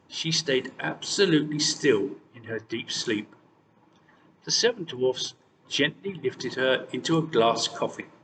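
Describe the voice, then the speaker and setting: A man's voice: mid-range voice, a bit bright, distant mic. A man narrates a children's fairy tale with very varied intonation. He sounds set back from the mic in a long reverb, with faint noise underneath. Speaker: storyteller.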